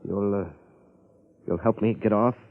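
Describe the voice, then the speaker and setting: Man playing cornered impostor, sullen, lowish voice, hesitant, old-time radio drama. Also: fairly even intonation, unhurried, dry recording, phone-quality sound, close to an everyday voice. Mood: guarded, resigned. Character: cornered small-time male crook, sullen, slow-witted impostor. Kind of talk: 1950s radio drama acting.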